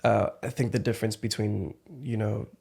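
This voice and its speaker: A man hosting a podcast, talking in a relaxed, reflective way and murmuring agreement while his guest speaks. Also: fairly low pitch, moderate pace, gravelly, slightly rough. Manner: reflective, easygoing, frequent backchannel murmurs. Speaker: podcast host. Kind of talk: casual conversation.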